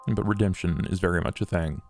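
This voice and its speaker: A man's voice: sits low, steady tone, brisk, a little mellow, faint music behind. A man playing a solemn, courteous vampire leader in a tabletop game, with grave, formal wording.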